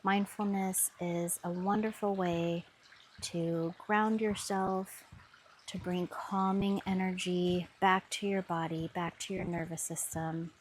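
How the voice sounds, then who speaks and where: A woman guiding a mindfulness body scan meditation with soft, soothing instructions. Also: on the low side, flat delivery, slow, slight background noise. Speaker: meditation guide. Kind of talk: guided meditation.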